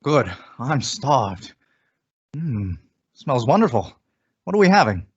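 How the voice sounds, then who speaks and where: An actor playing a well-meaning, befuddled husband and office worker in an old radio comedy. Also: male voice, medium pitch, big pitch swings, takes it slowly, breathy edge, exaggerated voice. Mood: contented. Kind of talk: 1950s radio sitcom acting. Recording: dull vintage radio recording.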